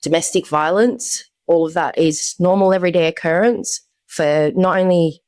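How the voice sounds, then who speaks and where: A woman's voice: on the low side, fairly even intonation, normal speed, darkish tone, no echo, studio quality. A young woman as a guest, candidly telling her personal story in a conversational way. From Australia. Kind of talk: personal testimony.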